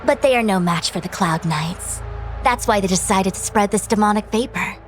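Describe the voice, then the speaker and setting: A woman's voice: mid-range voice, moderate pace, faint music behind, lightly acted. An actress voicing an anxious, chatty young female fortune-teller in a video game, dramatic and explanatory. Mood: earnest lecturing.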